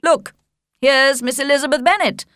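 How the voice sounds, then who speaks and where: A woman voicing a cheerful, courtly older gentleman host in a storybook, effusive and coaxing. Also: high-pitched, moderate pace, no echo, clean studio sound, pitched above the performer's own voice.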